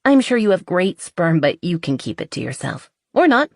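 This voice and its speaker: A woman's voice: lowish voice, sing-song, moderate pace, gravelly, dry recording, studio quality, pitched above the performer's own voice, full character voice. A woman voicing a playful, chatty, impulsive young woman who is a little tipsy, in a romance audiobook. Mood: giddy, chatty.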